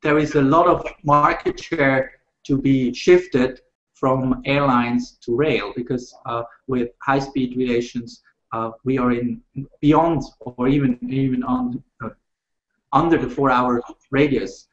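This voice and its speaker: A man from Switzerland, a rail industry panelist speaking in a measured, halting way with many fillers. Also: medium pitch. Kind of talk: panel discussion over video call. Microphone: video call. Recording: roomy, muffled video call audio.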